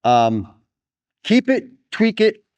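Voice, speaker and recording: A man's voice: high-pitched, very animated, slow, a little mellow, clear, resonant tone, dry recording. A middle-aged man hosting a casual sports interview, chatting and putting questions to his guest. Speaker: podcast host interviewer.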